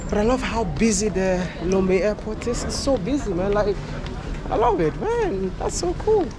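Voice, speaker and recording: A man's voice: high-pitched, big pitch swings, moderate pace. A man vlogging to camera while traveling, chatty and upbeat, talking from an airport and plane on a handheld camera. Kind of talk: vlog monologue to camera. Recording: background noise of airport.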